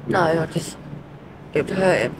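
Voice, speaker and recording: A woman's voice: lowish voice, normal speed. A woman with a South London accent giving short, hesitant, plain answers in a subdued street interview. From Croydon, South London, England. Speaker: homeless interviewee. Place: outdoors in a city doorway at night. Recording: noisy street with traffic ambience.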